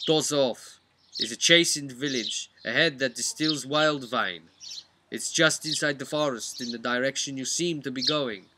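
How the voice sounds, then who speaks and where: Man playing a wary trapper, pitched up. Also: measured pace, background noise, natural voice. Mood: cautionary. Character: wary, plain-spoken male trapper in the wilds.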